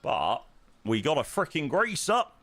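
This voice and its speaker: Hoarse man chatting on stream. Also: a little high, wildly swooping pitch, a little slow, a bit bright, no echo. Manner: rambling, self-interrupting, frequent ums. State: hoarse, croaky voice. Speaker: flight sim streamer. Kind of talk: casual stream chat.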